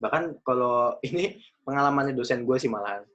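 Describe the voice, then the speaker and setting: A man of about twenty, hosting a casual podcast chat, chatty and quick to laugh. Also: medium pitch, lively intonation, a bit bright. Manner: chatty, laughs often, loose slangy talk. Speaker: podcast host. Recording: dull lo-fi recording.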